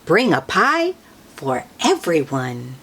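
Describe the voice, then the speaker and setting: A woman's voice: low voice, huge pitch swings, slow pace, a bit bright, breathy edge, pitched below the performer's own voice, exaggerated voice. A woman voicing a delighted old grandmother welcoming her grandchildren in a picture book, exclaiming in a sing-song way.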